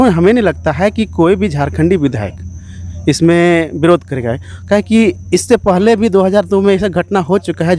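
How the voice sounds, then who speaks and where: A man's voice: on the high side, darkish tone. A man giving earnest, emphatic, repetitive political answers in an interview as an activist.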